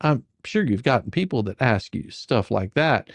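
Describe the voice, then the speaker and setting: A performer doing a comedic dramatic reading as a rambling, halting, faux-folksy lawyer questioning a witness in a deposition. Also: mid-range voice, very animated, dry recording. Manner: halting, repetitive, mock-sarcastic, stumbling over questions.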